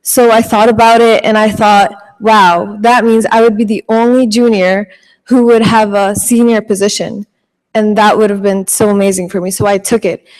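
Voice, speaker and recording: A teenage student speaks on an auditorium stage, hesitant, with frequent ums and pauses. Her voice sits at an ordinary pitch and rings with a long hall reverb. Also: flat delivery, a little mellow. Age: teens. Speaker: student panelist. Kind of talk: personal story on stage.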